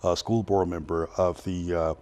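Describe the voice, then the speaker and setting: A man hosting a community TV interview show, talking haltingly with many restarts and fillers. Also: sits low, little pitch movement, a little mellow. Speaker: talk show host.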